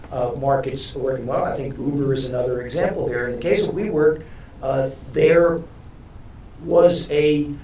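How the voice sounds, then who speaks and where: A man on a panel answering in a halting way, often repeating words as he starts. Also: medium pitch, a little mellow, far from the mic, slight background noise. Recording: echoey hall from afar.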